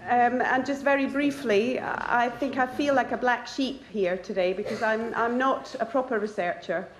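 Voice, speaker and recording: A woman's voice: a little high, normal speed, slight background noise. A woman, a nature conservationist, giving a conference talk in a chatty, self-deprecating way. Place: lecture hall. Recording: roomy hall sound.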